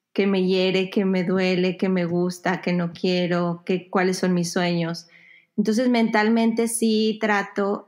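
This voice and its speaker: A woman podcast guest with a medium-pitched voice answers casually from home over a video call. She speaks in short, halting pieces, feeling for the right word and often repeating herself for emphasis. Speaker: podcast guest, yoga teacher. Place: home room with bare wall, indoors.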